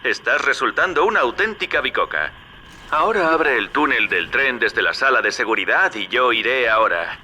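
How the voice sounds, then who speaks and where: A man's voice: mid-range voice, expressive, normal speed, pushed and bright, slight background noise. A man with a Castilian Spanish accent playing a wily, folksy hustler in a video game, talking in a chummy, colloquial, paternal way. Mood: impressed. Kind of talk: video game character acting.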